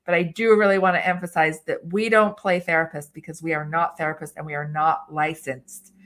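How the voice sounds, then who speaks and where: A woman giving HR advice in a live webinar discussion, talking in an animated way, acting out quoted dialogue and laughing often. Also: deep voice, fairly quick. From English-speaking country. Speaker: HR expert guest.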